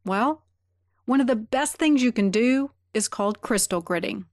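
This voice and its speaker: A woman's voice: a little high, animated, moderate pace, darkish tone. A woman hosting an interview podcast, chatting upbeat and enthusiastically with her guest. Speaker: podcast host.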